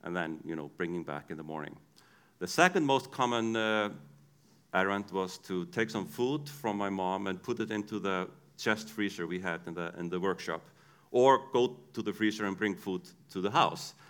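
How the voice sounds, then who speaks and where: A man's voice: sits low, moderate pace, a bit bright, clean tone. A man with an Icelandic accent, a native Icelandic speaker, preaching a sermon in a conversational storytelling way with frequent restarts.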